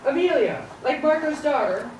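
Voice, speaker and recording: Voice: high-pitched, animated, normal speed, darkish tone. Performer as a genial adventurer man, echoing distantly. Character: genial, welcoming male adventurer in Egypt. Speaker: puppeteer in a school show. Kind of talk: live children's puppet show. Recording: echoey hall, far from mic, faint noise.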